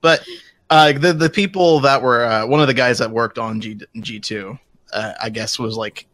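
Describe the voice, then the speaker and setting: Bright-voiced man bantering on a podcast. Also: medium pitch, moderate pace, no room sound. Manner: teasing, ribbing his co-host. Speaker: podcast co-host. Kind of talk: casual group podcast banter.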